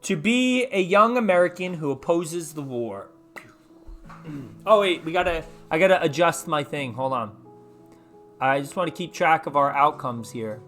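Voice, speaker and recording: Guy w a highish voice reading a story out loud, chatty n informal, bit of music behind him. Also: normal speed. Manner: informal, chatty asides to listeners. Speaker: host reading aloud. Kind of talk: casual read-aloud of an interactive book.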